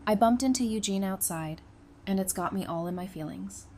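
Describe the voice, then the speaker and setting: A woman acting a quick-witted teacher in an audio drama. She is conversational and wry, with a middling pitch and slight noise in the background. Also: mellow, close to an everyday voice. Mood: wry, teasing. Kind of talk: fan audio drama dialogue.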